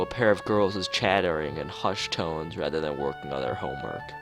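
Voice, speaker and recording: Man, low voice, lively swooping intonation, game read-aloud, casual rambling asides, stumbles over words, faint music. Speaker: streamer playing and reading a visual novel.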